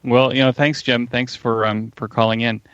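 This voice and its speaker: A middle-aged or older man giving casual interview answers as a guest, halting and pausing mid-sentence. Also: mid-range voice, level tone, fairly bright tone, clear, resonant tone, no echo. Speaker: interview guest, ham radio podcaster. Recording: dull, lo-fi remote connection.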